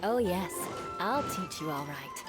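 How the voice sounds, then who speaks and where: A woman voicing a self-assured female sword instructor in an anime game, deliberate and taunting. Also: on the low side, very animated. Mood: smug, menacing. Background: game music.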